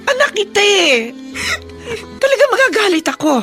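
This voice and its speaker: A woman's voice: very high voice, sing-song, normal speed, sharp tone, faint music behind, heavily acted. An actress playing a protective middle-aged mother with an emphatic, motherly manner in a Filipino radio drama. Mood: indignant.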